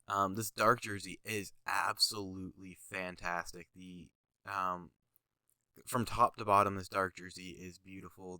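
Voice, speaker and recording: Stuffy young man, bright tense voice. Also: fairly low pitch, no echo. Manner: rambling, lots of fillers, goes on tangents. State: stuffy nose, sniffling, tired. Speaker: channel host reviewing his own jersey designs. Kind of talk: casual commentary over design images.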